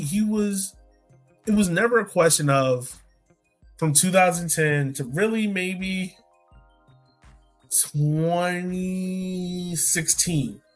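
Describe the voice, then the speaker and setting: An American man co-hosting a casual sports podcast, talking colloquially and emphatically, repeating points for effect. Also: on the high side. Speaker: podcast co-host.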